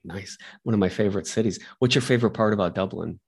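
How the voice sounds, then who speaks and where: A man's voice: lowish voice, quick pace, slightly rough, no room sound, studio quality. A man hosting an interview podcast over a remote call, bantering with self-deprecating jokes. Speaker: podcast host.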